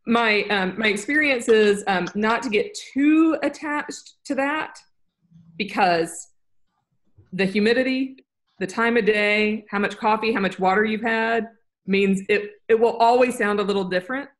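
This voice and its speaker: A woman's voice: medium pitch, measured pace. A woman producing online courses, talking in a casual, rambling and upbeat way on a video call. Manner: rambling, upbeat, self-correcting.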